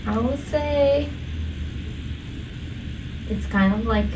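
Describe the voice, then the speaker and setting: Slow, hesitant Japanese woman guest. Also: fairly high pitch. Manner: hesitant, lots of fillers, searching for words. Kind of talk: casual interview. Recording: echoey room from a distance, noisy.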